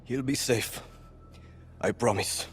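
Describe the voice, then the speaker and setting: An actor playing a fierce, loyal young guerrilla fighter in a cinematic video game, speaking bluntly and earnestly. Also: male voice, medium pitch, slow, slight background noise, natural voice. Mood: firm. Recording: loud music behind the voice.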